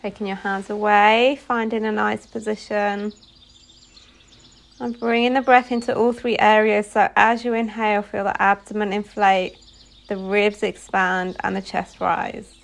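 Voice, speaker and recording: A woman leading a guided meditation as a yoga nidra instructor, giving soothing instructions phrase by phrase with pauses. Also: medium pitch, little pitch movement, vocal fry.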